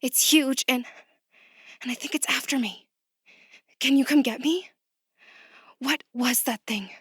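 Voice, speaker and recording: A woman playing a frightened new office employee, halting, anxious and urgent, in a horror audio drama. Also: high voice, husky, dry recording, clean studio sound, exaggerated voice.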